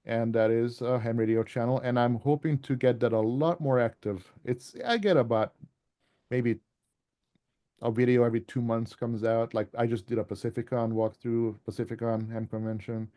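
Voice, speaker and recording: Mellow-voiced man giving an informal club talk. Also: medium pitch, fairly even intonation, moderate pace. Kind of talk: informal presentation to a radio club.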